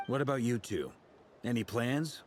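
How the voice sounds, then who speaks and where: An actor playing a youthful, curious and eager adventurer in a video game, casual and expressive. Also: male voice, medium pitch, normal speed, a little hiss or hum, natural voice.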